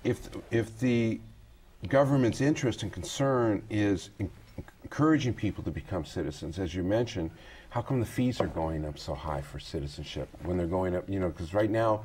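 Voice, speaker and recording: A middle-aged man hosting a TV studio talk show, pressing a guest with halting questions full of restarts. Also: low-pitched, a little mellow, a little hiss or hum. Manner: halting, with many restarts when pressing a point. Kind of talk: probing interview questions.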